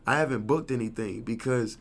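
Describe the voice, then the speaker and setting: Gravelly-voiced man in his thirties. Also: medium pitch, fairly quick. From Kansas City, USA. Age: 30s. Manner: laid-back, slangy, rambling. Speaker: rapper, interview guest. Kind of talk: casual interview chat.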